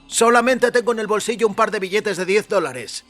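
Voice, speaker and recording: A frightened man in his thirties, acted out by a male narrator in an audio story. His voice rises high with nerves and anxiety, over a music bed. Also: fairly bright tone. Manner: hurried, anxious.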